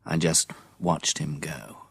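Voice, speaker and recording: An actor playing a steady but shaken British survivor, the protagonist and narrator of a radio drama. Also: male voice, extremely low pitch, slow, creaky, background noise, heavily acted. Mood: sombre narration. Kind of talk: radio drama dialogue and first-person narration.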